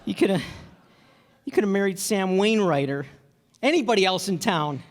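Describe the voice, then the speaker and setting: An actor playing an earnest, self-doubting young husband in a radio play, with a halting, folksy stammer. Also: male voice, sits high, expressive. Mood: dejected. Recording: echoey hall, stage reverb.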